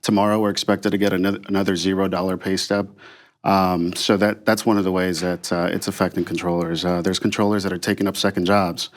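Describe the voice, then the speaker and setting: A man speaking as an air traffic controller and union official, giving halting, earnest, unscripted answers in an interview. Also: low voice, flat delivery.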